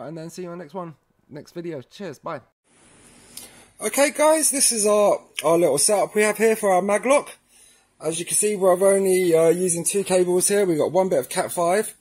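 A man's voice: mid-range voice, darkish tone. A man presenting an installation tutorial in an informal, casual way, talking directly to the viewers. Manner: casual, addresses viewers as guys. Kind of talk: informal instructional demonstration.

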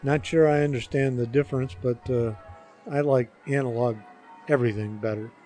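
An older man giving casual interview answers in a halting, dryly joking way. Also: medium pitch, fairly even intonation, mellow, soft background music. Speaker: interview guest, father of the hosts.

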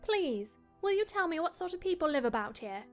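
A woman acts out a young girl in an audiobook play. The voice is high and swings expressively, with polite, curious questioning. Faint music runs underneath. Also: sing-song, fairly quick. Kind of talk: dramatized audiobook acting.

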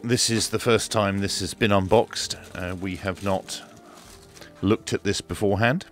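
Low-voiced man, unhurried. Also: fairly even intonation, faint music behind. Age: middle-aged. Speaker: product reviewer and host. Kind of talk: radio equipment review narration. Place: small indoor home studio. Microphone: close desk microphone.

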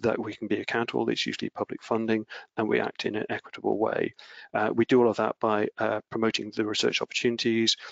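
A man's voice: lowish voice, gravelly, fairly bright tone, no room sound. A man giving a webinar talk over a video call, hesitant with many fillers and restarts. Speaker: webinar speaker, research office head. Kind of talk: presenting slides in a webinar. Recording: dry, dull video call audio.

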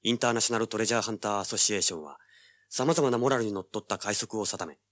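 A man's voice: lowish voice, very fast, fairly bright tone, no room sound, studio quality, natural voice. An actor playing a cocky, cool-headed young treasure hunter who narrates his own hard-boiled adventure in an audio drama. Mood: tense, alert. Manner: hard-boiled, self-assured.

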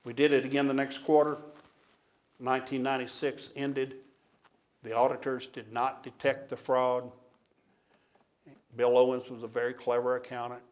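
A man's voice: medium pitch, little pitch movement, moderate pace, long pauses. A man, telling a story plainly and confessionally as a keynote speaker at a lectern. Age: 60s or older. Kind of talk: storytelling public lecture. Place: auditorium stage at a lectern. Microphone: podium gooseneck microphone.

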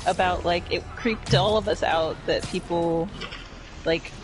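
A woman's voice: fairly low pitch, steady tone, measured pace, music in the background. A woman chatting casually on a voice call with friends, teasing and laughing easily. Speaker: co-streamer on voice chat. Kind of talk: casual voice chat.

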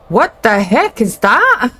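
A woman's voice: on the high side, sing-song, slow, sharp tone, slight background noise. A Scottish woman in her thirties giving chatty, wry, informal commentary as she plays a video game. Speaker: gaming video host. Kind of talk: casual let's play commentary.

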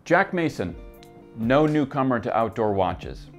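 A man in his thirties hosting a scripted gear news roundup, seated at a desk in an office and talking briskly from his notes. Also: medium pitch, big pitch swings, soft background music. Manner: brisk, upbeat presenter reading from notes.

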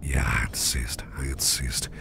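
Man acting very deep gravelly whispering caring boss. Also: level tone, exaggerated voice. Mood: gentle concern. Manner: soft, halting, soothing. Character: caring, reassuring male boss, gentle and protective. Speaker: roleplay ASMR performer. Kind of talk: ASMR roleplay, whispered. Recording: noisy ambient background noise.

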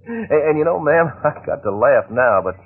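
Homesick country soldier, Southern US drawl, rambling and folksy, husky, narrow-band vintage radio play. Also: male voice, a little high, very animated, a little slow, full character voice. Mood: animated. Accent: American Southern. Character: homesick, talkative backwoods country soldier, good-natured. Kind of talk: old-time radio drama acting. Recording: muffled, narrow-band old radio recording.

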